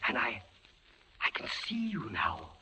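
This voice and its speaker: An actor playing a captive army captain, terrified and feverish, in theatrical 1940s radio style, halting between murmurs and cries. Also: male voice, on the low side, wildly swooping pitch, bright, forceful tone, full character voice. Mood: feverish, bewildered. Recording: muffled, noisy 1940s radio recording.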